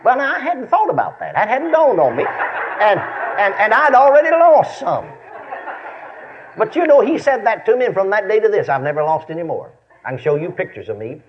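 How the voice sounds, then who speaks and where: Animated Texan preacher, dull recording. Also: male voice, high-pitched, normal speed, a crowd in the background. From Texas, United States. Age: 50s. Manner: repeats phrases for emphasis, checks in with listeners. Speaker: preacher and Bible teacher. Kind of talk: folksy storytelling sermon.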